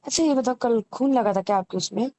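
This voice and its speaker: A woman in a casual private phone call, asking soft, everyday questions. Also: a little high, fast talker. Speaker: caller.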